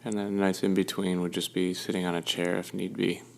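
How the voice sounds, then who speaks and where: A man leading a guided meditation with gentle, soothing instruction and unhurried pauses. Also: deep voice, little pitch movement, gravelly.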